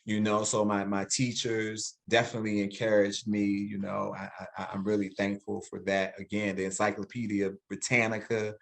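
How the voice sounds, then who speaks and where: A man's voice: fairly low pitch, moderate pace, creaky, hardly any pauses. A man in his forties, an educator, speaking reflectively in an interview and often repeating words as he thinks. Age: 40s. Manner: reflective, animated when quoting, repeats words. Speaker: guest, educator. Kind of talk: interview conversation.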